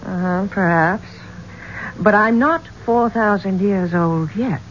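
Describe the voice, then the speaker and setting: An actress playing a spirited, curious singer with a wry, questioning manner in a radio drama. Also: female voice, mid-range voice, close to an everyday voice. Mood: wry, flustered. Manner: wry, teasing, quick to question. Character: spirited, curious female singer seeking adventure. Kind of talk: 1970s radio drama acting. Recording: dull, noisy 1970s radio recording.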